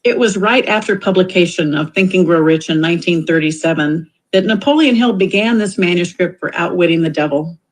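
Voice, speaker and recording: A woman calmly reading a scripted introduction to a book. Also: on the low side, fairly quick, hardly any pauses, poor audio. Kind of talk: scripted narration.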